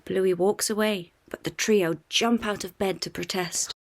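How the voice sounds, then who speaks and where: A woman reading a children's storybook aloud in an expressive scripted narration for young listeners. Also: mid-range voice, a little slow.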